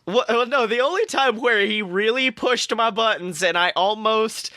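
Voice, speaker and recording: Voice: mid-range voice, normal speed, bright, forceful tone, no echo. A podcast co-host chatting casually and analytically about a cartoon, with some swearing.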